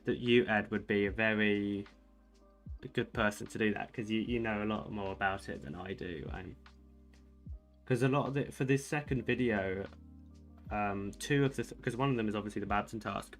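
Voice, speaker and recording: Young male streamer, soft low-ish voice, meandering hesitant delivery over faint background music. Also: moderate pace. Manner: rambling, hesitant, many fillers and restarts; soft-spoken, called soothing by viewers. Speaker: streamer reading chat. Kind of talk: casual livestream chat while playing chess.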